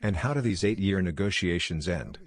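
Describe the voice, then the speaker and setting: A man's voice: low voice, lively intonation, normal speed, faint music behind. A man reading scripted political commentary as a voice-over narrator.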